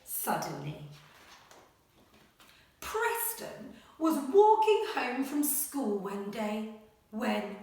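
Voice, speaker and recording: A woman primary school teacher speaking to children and reading a picture book aloud in a dramatic, expressive way. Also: fairly high pitch. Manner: dramatic, expressive, with gasps and suspenseful pauses. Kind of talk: animated children's story reading and lesson. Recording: very echoey room, slightly distant mic.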